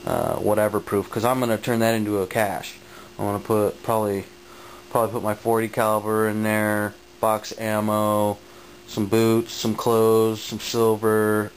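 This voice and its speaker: A man chatting casually in a rambling, slangy show-and-tell, recorded on a noisy amateur home video. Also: on the low side. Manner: slangy, laid-back phrasing. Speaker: vlogger showing his finds.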